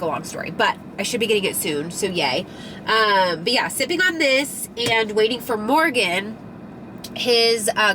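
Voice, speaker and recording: A woman's voice: medium pitch, background noise. A young woman with a slightly nasal voice from a recent cold, chatting casually in a rambling vlog. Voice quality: a little nasal. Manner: chatty, rambling, lots of fillers. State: recovering from a cold. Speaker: lifestyle vlogger.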